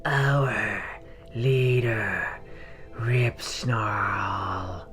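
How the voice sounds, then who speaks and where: A dead gnoll, dragged back to speak in a fantasy tale. The words come out breathy, very slow and laboured, drained and reluctant, with music behind them. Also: male voice, fairly low pitch, fairly even intonation, fairly bright tone. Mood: strained, lifeless.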